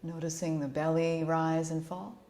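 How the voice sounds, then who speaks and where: Low-pitched woman guiding a relaxation meditation. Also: level tone. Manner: soothing, gentle, unhurried instruction. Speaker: yoga nidra instructor.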